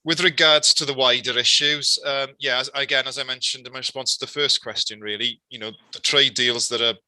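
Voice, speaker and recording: A man's voice: medium pitch, steady tone, brisk, pushed and bright, no echo, rough recording. A Welsh man, a farmer, giving evidence to a committee. He often repeats words and leans on fillers like "really" and "you know". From Wales. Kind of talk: formal testimony, spoken off the cuff.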